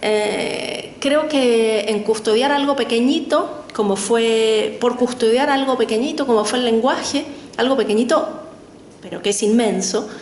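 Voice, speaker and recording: Casual: middle-aged argentine lady musing slowly, echoey room, faint hiss. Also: fairly high pitch, darkish tone. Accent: Argentine Spanish. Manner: thoughtful, many fillers and tag questions. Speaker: panel guest writer. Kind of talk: reflective talk at a literary panel. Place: lecture hall.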